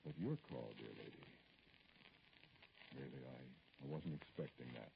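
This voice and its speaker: Wounded politician in old radio drama, super low gravelly voice, formal halting talk, muffled hissy recording. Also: male voice, full character voice. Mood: wounded, indignant. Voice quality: very deep, gravelly. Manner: hesitant, formal, pointed, with pauses. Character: aggrieved middle-aged male politician, dignified, brooding, addressing a caller. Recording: noisy, dull vintage radio recording.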